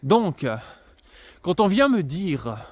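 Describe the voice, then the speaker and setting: A man's voice: high voice, big pitch swings, extremely slow, dry recording. Emphatic, sarcastic man on a monologue. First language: bilingual French and Italian. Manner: emphatic, sarcastic, many fillers and restarts. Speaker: political commentator. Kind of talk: unscripted political monologue.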